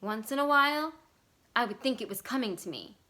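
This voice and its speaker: A calm, brooding convict on the run as a woman reader acts him out for a short story. He talks in folksy rural phrases, slowly turning things over, with a bright, clear-cut edge to the voice. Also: a little high. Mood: dreamy brooding. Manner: folksy rural speech, musing and deliberate.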